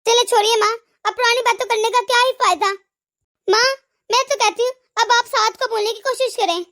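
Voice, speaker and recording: Cartoon role: a clingy, resentful young daughter with a piping, high voice, voiced by a woman. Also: steady tone, a little fast, a bit bright. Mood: coaxing. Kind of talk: animated family drama dialogue.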